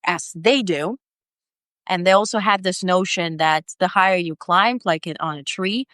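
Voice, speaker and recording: A woman journalist from Ukraine, a native Ukrainian or Russian speaker, talking through her story in a relaxed interview with many fillers and restarts. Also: fairly low pitch, moderate pace, no echo, clean studio sound. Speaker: independent journalist, guest. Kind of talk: conversational interview.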